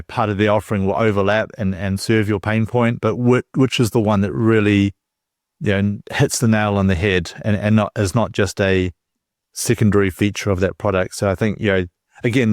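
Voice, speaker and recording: Lowish voiced guy hosting a podcast, chatty and discursive, super dry audio. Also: no echo. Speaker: podcast host, interviewer. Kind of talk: conversational interview hosting.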